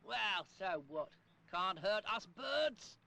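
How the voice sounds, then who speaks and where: Cartoon bird for a vintage safety film: cocky, dismissive drawl, bright and creaky. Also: medium pitch, animated, takes it slowly, exaggerated voice. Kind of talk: vintage public information film cartoon voice acting.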